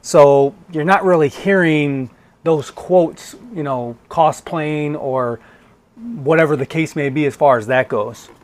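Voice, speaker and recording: A man's voice: fairly high pitch, slow, slight background noise. A man giving a casual, informal product review as an online collectibles reviewer.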